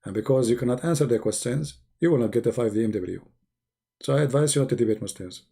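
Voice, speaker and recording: Male livestream host, gravelly and mellow-toned, rambling mocking banter. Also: mid-range voice, fast talker. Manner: mocking, rambling, joking asides. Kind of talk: casual livestream chat.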